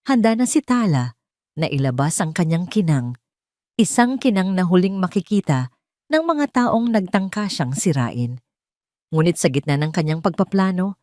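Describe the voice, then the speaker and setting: Woman narrating drama in a lowish, dry voice. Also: a little mellow, no room sound. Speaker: storyteller, narrator. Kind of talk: scripted dramatic audio story narration.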